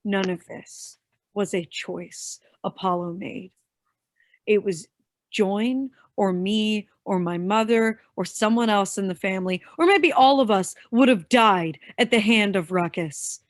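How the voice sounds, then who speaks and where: Actress as defiant young woman working for villains, stage drama. Medium pitch, dry sound. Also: unhurried, dry recording, lightly acted. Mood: bitter, defensive. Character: bitter, defiant young woman turned reluctant henchwoman. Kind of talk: staged play reading.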